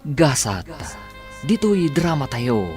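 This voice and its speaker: Slow dramatic male narrator over music. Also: mid-range voice, big pitch swings, a little mellow. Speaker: announcer and narrator. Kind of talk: scripted radio drama narration. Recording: music under the announcer.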